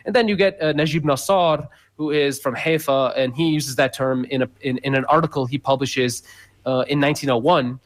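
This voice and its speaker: A man's voice: mid-range voice, fairly even intonation, a little fast. A man speaking as a guest scholar in a radio interview, explaining at length with many fillers and restarts.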